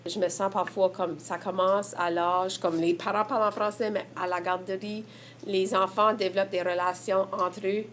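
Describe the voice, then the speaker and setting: A woman's voice: mid-range voice, flat delivery, moderate pace, a bit bright, slight background noise. A woman from the Évangeline region with an Acadian French accent, speaking candidly and searchingly about family and language. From Évangeline region, Prince Edward Island. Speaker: mother sharing her testimony. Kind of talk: candid personal testimonial.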